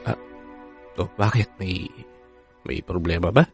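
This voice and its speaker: An actor with a Filipino accent playing a defensive, easily rattled husband in a radio drama, conversational and expressive. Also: male voice, sits low, measured pace, faint music behind. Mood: startled, upset.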